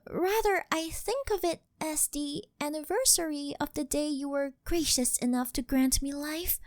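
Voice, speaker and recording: A woman's voice: sits high, moderate pace, no room sound, clean studio sound, natural voice. A woman playing a devoted yandere doll maid who worships her master, speaking with reverent, formal servility in an ASMR roleplay. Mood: humble, grateful.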